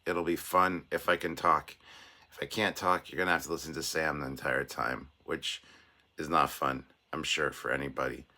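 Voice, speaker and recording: A man with an extremely low voice hosts a relaxed livestream, his throat raw and hoarse. He rambles along in a chatty, self-deprecating way, reading viewer messages aloud. His tone carries a forceful, bright edge despite the soreness. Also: normal speed. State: sore throat, voice hoarse and cracking. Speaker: livestream host. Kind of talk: casual livestream chat, reading out viewer comments.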